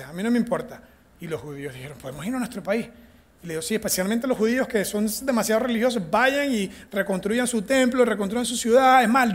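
Joking Venezuelan man teaching Bible class. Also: high-pitched. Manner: joking, animated storytelling, acting out characters. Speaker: church teacher. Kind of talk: lively Bible class talk.

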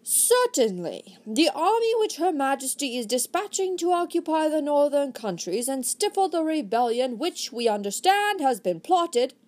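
A woman voicing a proud, theatrical male actor who takes command with grand, booming delivery. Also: big pitch swings, moderate pace, hardly any pauses, a little mellow. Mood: grave.

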